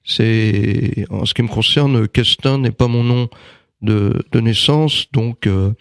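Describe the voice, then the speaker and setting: A man's voice: mid-range voice, takes it slowly, vocal fry, no echo. Hesitant French-speaking man, dry sound. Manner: hesitant, many fillers and restarts. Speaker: interviewee, writer and magnetizer. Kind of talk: casual interview.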